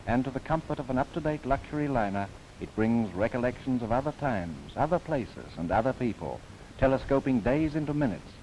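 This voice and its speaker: A man delivers formal, scripted documentary narration in the style of the 1930s. His mid-pitched voice rises and falls in broad, animated sweeps while staying measured and earnest. It sounds like an old film soundtrack, with a little background noise. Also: poor audio.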